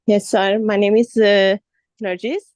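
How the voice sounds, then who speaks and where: A woman's voice: mid-range voice, flat delivery, measured pace, a little mellow, no room sound, clean studio sound. Polite woman from Pakistan, many fillers. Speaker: nurse and student. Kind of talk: class feedback on a video call.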